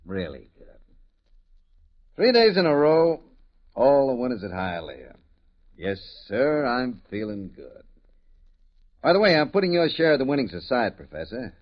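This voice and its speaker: Old radio crook, cocky and bossy, slangy, really animated, muffled sound. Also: male voice, mid-range voice, unhurried, long pauses. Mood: smug. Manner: slangy, commanding, swaggering. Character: smug, bullying male gambling blackmailer. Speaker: radio actor. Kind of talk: 1950s radio drama acting. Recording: dull vintage radio recording.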